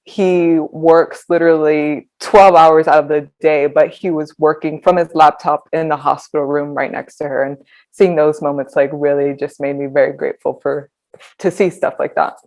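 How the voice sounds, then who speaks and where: A woman in her twenties, a podcast guest talking reflectively, pausing often with ums. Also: sits low, fairly even intonation, a little mellow. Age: 20s. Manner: reflective, hesitant with frequent ums. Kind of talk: conversational interview.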